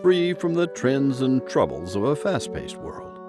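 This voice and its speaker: A man narrates an audio story in a theatrical, folksy manner. His mid-pitched voice is creaky and extremely expressive, rising and falling widely over a light music bed. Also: unhurried. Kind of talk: scripted storybook-style narration.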